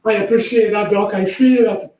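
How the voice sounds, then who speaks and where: A man, answering interview questions politely and in a measured way. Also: high voice, steady tone, a little mellow, roomy sound, low-quality recording. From Trinidad. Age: 80s. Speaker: guest, recovered patient.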